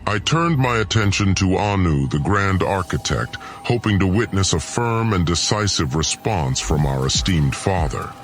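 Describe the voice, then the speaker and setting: Male audio drama narrator, low-pitched, solemn and measured, formal delivery over faint music. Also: lively intonation. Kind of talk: scripted first-person dramatic narration.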